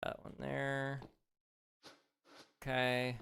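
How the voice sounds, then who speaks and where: A man's voice: mid-range voice, completely flat delivery, extremely slow, vocal fry, noisy background. A man hosting a casual gaming livestream, rambling and chatty with lots of fillers, his voice slightly strained as he starts to lose it. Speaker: livestream host.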